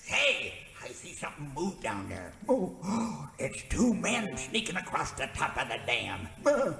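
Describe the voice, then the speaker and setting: A man's voice: fairly high pitch, huge pitch swings, unhurried, creaky, bright, forceful tone, roomy sound, in a large hall, faint music behind, heavily acted. A man playing a folksy, rustic old cowboy sidekick in a Western radio comedy. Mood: suspicious.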